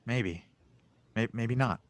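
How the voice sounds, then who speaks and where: A man playing an anxious, sardonic young genius in an audio drama table read, with halting phrasing. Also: medium pitch, no echo, lightly acted. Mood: reluctant. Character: anxious young male genius engineer, self-doubting and sardonic.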